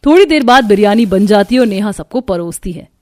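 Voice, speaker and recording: A woman's voice: medium pitch, lively intonation, brisk, a little mellow, dry recording. A woman reading scripted storytelling narration for a cartoon.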